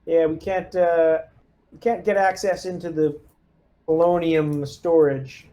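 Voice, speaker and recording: A man with a fairly high voice plays a tabletop roleplaying game. He talks in an informal, halting way, working out plans aloud as his character. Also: fairly even intonation, warm tone. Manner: informal, hesitant, thinking aloud. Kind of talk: casual in-character table talk.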